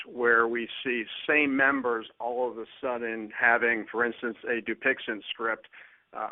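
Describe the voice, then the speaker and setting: A man, a company finance executive, answering plainly in halting phrases on an earnings call. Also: mid-range voice. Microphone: conference call line. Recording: narrow phone-line sound.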